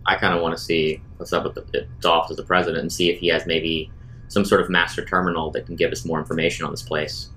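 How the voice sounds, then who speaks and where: Low-voiced, fast-talking man at a game. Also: little pitch movement, sharp tone, slight background noise, rough recording. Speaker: roleplaying game player. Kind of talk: casual tabletop game chat.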